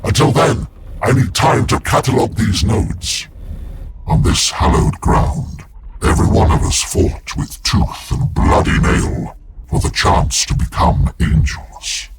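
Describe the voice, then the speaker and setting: An actor voicing a grave, brooding armoured warrior-psyker entombed in a war machine, speaking formally and portentously in a game cutscene. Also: male voice, medium pitch, a little slow, very noisy, close to an everyday voice. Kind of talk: dramatic video game cutscene acting.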